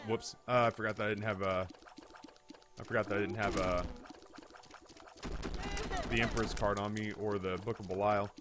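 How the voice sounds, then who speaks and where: A man, telling a comedic story casually in an animated, hyperbolic, profane way as a streamer. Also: on the low side. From United States. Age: 30s. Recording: music behind the voice. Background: background music.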